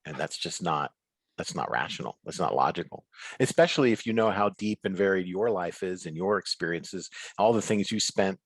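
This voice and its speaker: A man with a very deep, husky voice talks as a guest author. He phrases things earnestly and deliberately, pausing as he reflects. The sound is close and dry, with very little room in it. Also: lively intonation, no room sound. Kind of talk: reflective interview conversation over video call. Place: remote video call.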